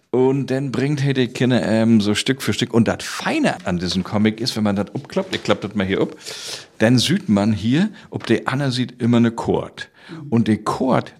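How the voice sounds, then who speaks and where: A man from Rügen with a northern German accent, casually telling stories in Low German as an interview guest. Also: creaky. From island of Rügen, Germany.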